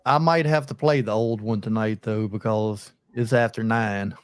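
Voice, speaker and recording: A man's voice: on the low side, steady tone, normal speed, smooth, no echo. A man in his forties with a Southern American accent, hosting a casual live chat. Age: 40s. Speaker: host.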